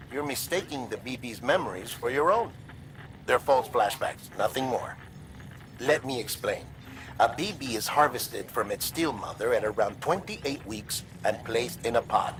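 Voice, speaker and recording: A man's voice: medium pitch, animated, measured pace, fairly bright tone, slight background noise, poor audio. Chatty explanatory man. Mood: explanatory, slightly defensive. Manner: explanatory, casually wry. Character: chatty, evasive male medical expert briefing a colleague. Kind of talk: conversational video game cutscene acting.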